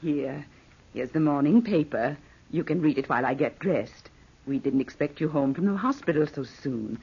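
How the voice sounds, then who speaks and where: An actress playing a genteel, devoted older aunt with a weak heart in an old-time radio drama. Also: female voice, sits low, expressive, normal speed, vocal fry, breathy edge, full character voice. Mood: composed, doting. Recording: dull vintage radio recording.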